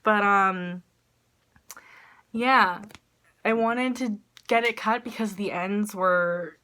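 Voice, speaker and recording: A young woman with a bright, forward voice talks straight into a phone held at arm's length in a small room. Her nose is stuffed up from allergies, and she rambles along with plenty of "like" and "um", poking fun at herself. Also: mid-range voice, slow. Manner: rambling, self-deprecating, lots of "like" and "um". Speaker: vlogger. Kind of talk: casual chatty vlog. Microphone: phone camera at arm's length.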